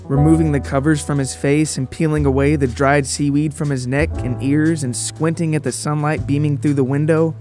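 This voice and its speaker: A man's voice: a little high, flat delivery, normal speed, few pauses, a little mellow, faint music behind. A man hosting a narrative podcast, talking to listeners in a joking, casual, storytelling way. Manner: joking, rambling, addresses listeners directly.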